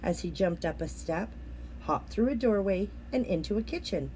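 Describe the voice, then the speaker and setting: A woman reading a children's picture book aloud as an expressive storyteller. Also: mid-range voice, moderate pace, slight background noise, poor audio. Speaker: storybook reader.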